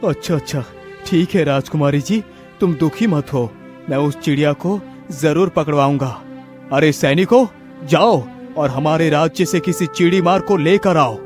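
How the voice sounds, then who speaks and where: A man's voice: a little high, measured pace, a little mellow, soft background music, close to an everyday voice. A man voicing an indulgent king who gives orders in a cartoon story. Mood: placating. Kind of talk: children's cartoon story voice acting.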